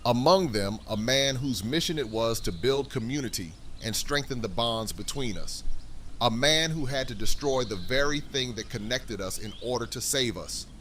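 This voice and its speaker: A man acting in an audio drama as a warm, stately community leader, with theatrical, oratorical phrasing. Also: medium pitch, expressive, normal speed, slight background noise, close to an everyday voice. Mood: proud, ceremonial.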